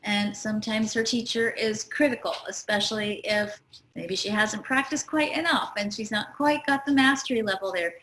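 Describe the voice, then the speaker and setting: A woman's voice: medium pitch, moderate pace, fairly bright tone, reverberant, slight background noise, low-quality recording. A middle-aged woman hosting a spiritual astrology talk show, explaining in an earnest teaching tone. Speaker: show host.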